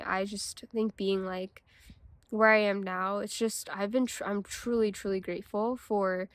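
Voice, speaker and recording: A woman's voice: mid-range voice, clear, resonant tone, no echo. A teenage girl from China reflecting in a personal vlog, thoughtful and hesitant, with many fillers and restarts. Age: teens. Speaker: vlogger.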